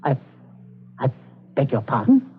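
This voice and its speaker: Suave, scheming patriarch on old radio. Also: male voice, mid-range voice, wildly swooping pitch, measured pace, faint music behind. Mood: nervously polite. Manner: smoothly polite, theatrical. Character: domineering, suavely genial, scheming English father. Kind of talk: 1940s radio suspense acting. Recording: scratchy, narrow-band 1940s radio recording.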